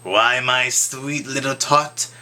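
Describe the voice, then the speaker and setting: Storybook villain played by a man: mean, gleeful male monster, scheming, slow and drawn-out. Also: medium pitch, fairly even intonation, sharp tone. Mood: falsely sweet.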